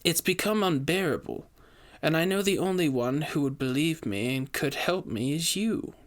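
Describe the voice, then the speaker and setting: A man voicing a grieving, secretive high-society widow in an audio story, with a genteel, dramatic, pleading manner. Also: lively intonation, moderate pace, lightly acted. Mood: fearful, pleading. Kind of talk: audio fiction character dialogue.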